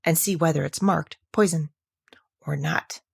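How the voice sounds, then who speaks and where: A woman's voice: deep voice, measured pace, gravelly, breathy edge, voice pushed down, full character voice. A woman voicing a curious, polite little girl who muses and scolds herself aloud in a storybook reading. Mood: cautious resolve. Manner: self-scolding, musing aloud.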